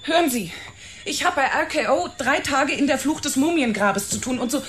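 Young flighty starlet in a radio play, high voice, chatty, trips over her words. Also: animated, normal speed, a bit bright. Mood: chatty, casual. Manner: chatty, stumbling, exasperated. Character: flighty young bit-part actress questioned by police. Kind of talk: radio drama dialogue.

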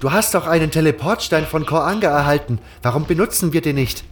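A man's voice: on the high side, quick pace, slight background noise, voice pushed up, lightly acted. A man voicing a jittery, grumbling novice and reluctant sidekick in a fantasy audio drama, whiny and sarcastic. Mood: anxious, protesting.

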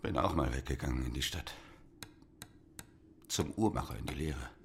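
Very deep, weary village father. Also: animated, moderate pace, vocal fry, slight background noise, full character voice. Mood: weary regret. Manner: weary, resigned. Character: resigned village father, a former watchmaker's apprentice. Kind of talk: radio drama acting.

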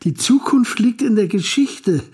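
An actor playing an elderly retired Chinese scholar in a radio drama monologue, speaking in a measured, reflective and contented way. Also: male voice, high voice, lively intonation, a little mellow. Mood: insistent, reflective. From China. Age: 80s. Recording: roomy echo, music behind.

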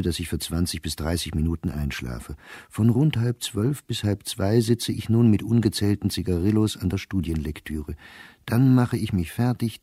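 Deep, weary male diarist, close and dry. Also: dark tone, husky, dry recording. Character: weary middle-aged male diarist, gloomy and resigned. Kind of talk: radio drama diary reading.

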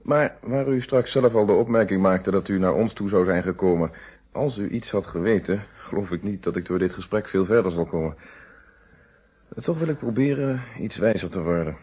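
An actor in a Dutch radio play playing a courteous, methodical police inspector with formal, deliberate questioning. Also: male voice, low-pitched, darkish tone, slightly rough. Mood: courteous, probing. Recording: dull, band-limited old radio recording.

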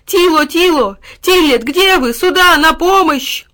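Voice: very high-pitched, lively intonation, unhurried, pushed and bright, pitched above the performer's own voice, full character voice. A woman voicing a brave young boy in a storybook, pleading and groaning in desperation. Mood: desperate. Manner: pleading cries and weary groans.

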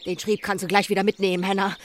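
A woman's voice: mid-range voice, little pitch movement, fast, a little hiss or hum, natural voice. An actress playing a foul-mouthed, defiant woman farmer in a radio play, brusque and threatening. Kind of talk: German radio play acting.

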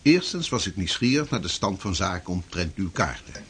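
An actor playing a shrewd 16th-century preacher who speaks in a lively, formal, old-fashioned way. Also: male voice, deep voice, moderate pace, husky, exaggerated voice. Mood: earnest. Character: shrewd 16th-century Dutch preacher, fierce against Spain. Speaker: preacher and cartographer. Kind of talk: radio drama acting.

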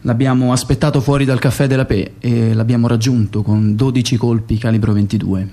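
An actor playing a self-justifying secret agent in radio theatre, with clipped, declarative testimony. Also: male voice, medium pitch, fairly even intonation, fairly quick, warm tone, natural voice. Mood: cold, factual.

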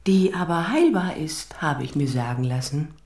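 A woman's voice: low-pitched, animated, normal speed, darkish tone, echoey room. An actress playing a genteel, probing society lady, polite and pointed, in crime radio drama dialogue.

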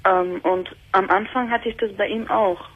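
A woman's voice: medium pitch, fairly even intonation, moderate pace, slight background noise. Twenty-something woman from on a call-in show, hesitant, lots of ums, tinny phone sound. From Germany. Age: 20s. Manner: hesitant, many fillers, earnest. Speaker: caller. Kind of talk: personal call-in conversation. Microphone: telephone. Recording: phone line on radio.

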